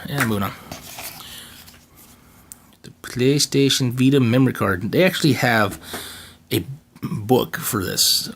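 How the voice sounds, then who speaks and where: Raspy male vlogger, offhand unboxing chatter, jokey asides, faint hiss behind. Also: medium pitch, a bit bright. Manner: joking asides, frequent fillers and restarts. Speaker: channel co-host doing an unboxing. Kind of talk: casual unboxing commentary. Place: home gaming room.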